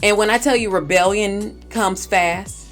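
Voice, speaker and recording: A woman's voice: medium pitch, a little slow, soft background music. A woman hosting a movie recap, giving scripted narration and commentary. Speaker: movie recap host and reviewer.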